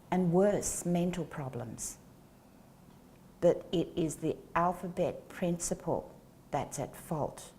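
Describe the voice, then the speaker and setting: A woman in her sixties, a guest in an interview, explaining things in a slow, measured way on a lapel mic in a small studio. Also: lowish voice, mellow, slight background noise. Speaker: guest, educator. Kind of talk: interview answers. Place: small studio set with plants.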